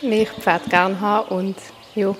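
A woman's voice: mid-range voice, flat delivery, slow, background noise. A woman in her twenties, a new apprentice, answering simply. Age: 20s. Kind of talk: interview answers.

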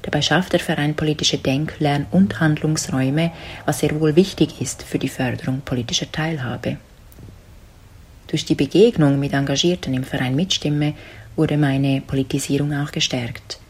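A woman from Zurich speaks in a low, husky voice. She reads her prepared statement in an earnest, articulate way. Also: fairly even intonation, moderate pace. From Zurich, Switzerland. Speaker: community member.

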